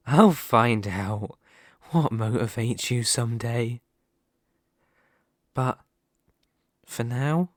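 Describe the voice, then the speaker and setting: A man playing a dominant, vain and possessive demon lord in an ASMR roleplay, speaking formally and theatrically with deliberate dramatic pauses. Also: mid-range voice, no room sound, studio quality. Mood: brooding, probing. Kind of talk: ASMR roleplay character monologue.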